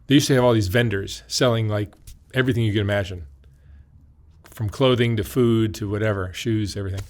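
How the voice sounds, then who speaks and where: A man conducts an interview with a fairly low voice. He asks brief, conversational questions and makes easy small talk. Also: steady tone, brisk.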